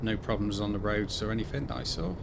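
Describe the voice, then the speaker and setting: A man's voice: lowish voice, steady tone, fast talker, gravelly. A man in his fifties giving laid-back commentary while playing a truck driving game, chatting and thinking aloud. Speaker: gaming channel host. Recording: loud truck engine and road noise behind. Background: driving game truck sounds.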